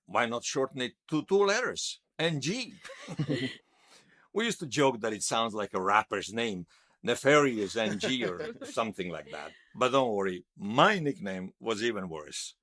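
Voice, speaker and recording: A man's voice: fairly low pitch, fairly bright tone, dry recording, studio quality, exaggerated voice. An actor playing a nostalgic, wryly amused man recalling his past conversationally in an interview-style audio drama. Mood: fond, amused. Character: nostalgic man recalling lost love in a future world.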